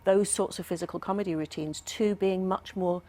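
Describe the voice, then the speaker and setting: A woman's voice: mid-range voice, steady tone, moderate pace, warm tone. A woman speaking as an expert in a studio interview, explaining things thoughtfully with some hesitation. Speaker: academic expert guest, professor.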